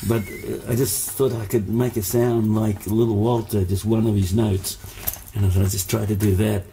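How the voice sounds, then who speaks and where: A man's voice: on the low side, flat delivery, moderate pace, mellow, a little hiss or hum. A man, born in Hungary, telling stories as a musician guest in a casual interview, rambling with many fillers and restarts.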